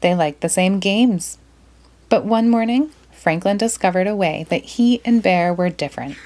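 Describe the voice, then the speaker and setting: A woman narrating a children's picture book aloud in an expressive, deliberate way. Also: medium pitch.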